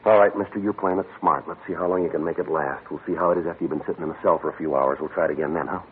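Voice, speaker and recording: Guy playing a flat no-nonsense radio cop, super deep, rattles it off fast, tinny old broadcast. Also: a little hiss or hum, natural voice. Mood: pressing. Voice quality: clipped, flat, matter-of-fact delivery. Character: terse male police detective sergeant, dogged. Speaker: detective and narrator. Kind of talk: 1950s radio crime drama.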